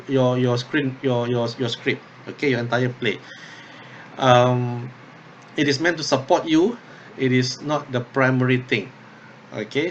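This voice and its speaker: A man instructor talking through an unscripted tutorial step by step, thinking aloud haltingly and often checking in with okay or yeah. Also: medium pitch, a bit bright, dull audio, a little hiss or hum. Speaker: drama workshop instructor.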